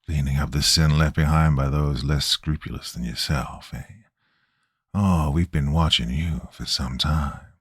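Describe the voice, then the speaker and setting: A man voicing a sinister stranger with a raspy, half-whispered wheeze, talking slowly and threateningly. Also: a bass voice, steady tone, no echo, studio quality, pitched below the performer's own voice, full character voice. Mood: menacing. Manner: slow, sly and threatening.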